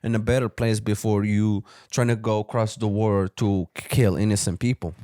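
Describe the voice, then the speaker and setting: A man's voice: on the low side, fairly even intonation, moderate pace, dark tone, dry recording, studio quality. A man from Morocco co-hosting a podcast, talking casually and rambling, often tagging his points with "you know what I'm saying". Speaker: podcast co-host. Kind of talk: casual chat.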